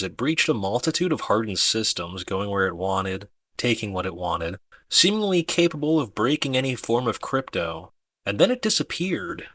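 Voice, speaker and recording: Expressive, bright-voiced male audiobook narrator. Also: fairly low pitch, dry recording. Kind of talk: dramatic scripted narration.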